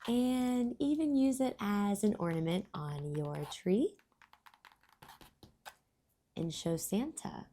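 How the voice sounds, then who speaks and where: A woman hosting a children's storybook read-aloud, speaking in deliberate phrases and explaining things to young listeners. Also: medium pitch, dark tone, a little hiss or hum.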